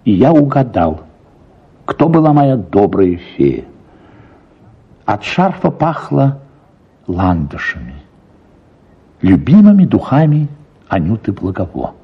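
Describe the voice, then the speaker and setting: A man's voice: lowish voice, sing-song, slow, long pauses, slightly rough, muffled recording. A man reading first-person literary narration for a radio production, as an actor telling a story.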